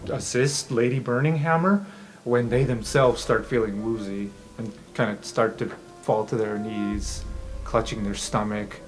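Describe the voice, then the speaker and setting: A man's voice: lowish voice, steady tone, measured pace, faint music behind. A man running a tabletop game, narrating the scene casually with plenty of fillers. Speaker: game master.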